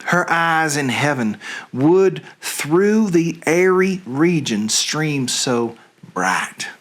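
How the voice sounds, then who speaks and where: Lovestruck young suitor male reader: declamatory Shakespearean verse, dreamy and slow, with highly varied intonation. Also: fairly bright tone, exaggerated voice. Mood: rapturous.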